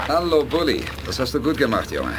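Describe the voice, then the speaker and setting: A calm, authoritative commander in a science-fiction audio drama. His medium-pitched voice is expressive in melody, yet composed and decisive. Faint noise lies behind it. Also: fairly quick. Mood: composed, friendly. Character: calm, authoritative, friendly male space commander. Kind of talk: German science-fiction radio drama acting.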